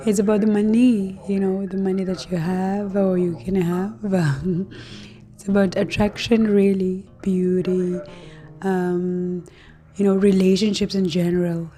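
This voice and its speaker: A woman hosting an astrology talk to her viewers, hoarse and losing her voice, speaking casually with many fillers and soft laughs. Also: mid-range voice, faint music behind. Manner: slow, relaxed, many fillers and light laughs. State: losing her voice, deeper than usual. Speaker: astrology channel host.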